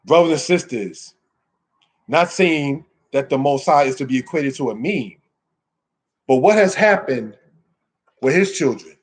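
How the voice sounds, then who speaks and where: A man's voice: fairly high pitch, lively intonation, measured pace, long pauses. A man teaching scripture in an informal sermon, exhorting his listeners with emphatic phrasing and rhetorical questions. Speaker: religious teacher giving a scripture lesson. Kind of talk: sermon, informal Bible teaching addressed to a congregation.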